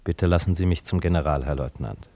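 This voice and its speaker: A very low-voiced mysterious stranger in a radio drama, solemn and implacable. He speaks in measured, cryptic phrases, on a noisy, humming old recording. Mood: calm, insistent. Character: mysterious man in a raincoat, otherworldly, calmly implacable. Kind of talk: German radio drama acting.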